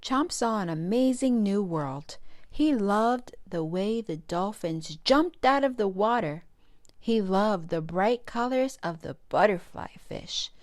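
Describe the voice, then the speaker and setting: A woman reading a children's storybook aloud as a storytime host, with expressive, careful phrasing. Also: mid-range voice, takes it slowly, dry recording, studio quality.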